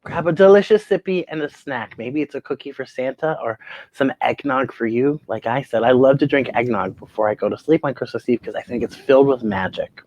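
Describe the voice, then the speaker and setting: A woman hosting a children's story show, reading aloud expressively with theatrical, drawn-out phrasing and emphatic pauses. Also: extremely low pitch, darkish tone, no room sound. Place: indoors at home.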